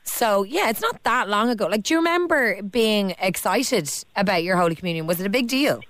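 A woman's voice: mid-range voice, expressive, a little fast, fairly bright tone, no echo. A woman with an Irish accent hosting a phone-in radio show, chatting and teasing callers and reading out listener texts. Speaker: radio host. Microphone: studio mic.

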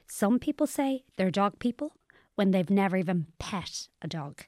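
Woman acting cool dry knowing type in a radio play, mid pitched voice, quietly needling. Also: animated, normal speed, no room sound, lightly acted. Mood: skeptical. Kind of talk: naturalistic audio drama dialogue.

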